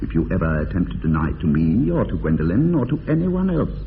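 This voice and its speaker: An actor playing an idle, witty, teasing young upper-class English bachelor in a radio comedy of manners, with arch, polished phrasing. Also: male voice, low voice, big pitch swings, quick pace. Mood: amused, probing. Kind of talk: radio drama acting of period comedy. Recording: tinny band-limited radio, long reverb, hiss.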